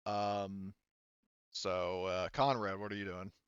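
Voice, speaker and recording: A man's voice: low voice, flat delivery, takes it slowly, clear, resonant tone, no echo, dull audio. A man running a tabletop roleplaying game as game master, talking informally and swearing freely as he narrates and handles turns.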